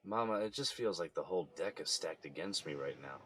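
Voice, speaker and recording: A man's voice: deep voice, lively intonation, fairly quick, a bit bright, a little hiss or hum. A man playing a weary, embattled middle-aged son in an audio drama, downcast, frustrated and bitter.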